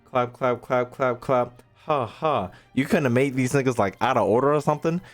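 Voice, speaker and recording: A man's voice: mid-range voice, normal speed. A man streaming a game with casual, slangy reaction commentary, swearing freely and heckling in mock outrage. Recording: faint game music behind the voice.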